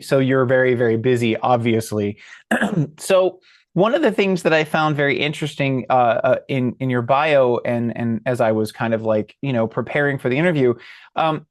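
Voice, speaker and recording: A man's voice: medium pitch, no room sound, studio quality. Friendly rambling male interview host. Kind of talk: interview conversation.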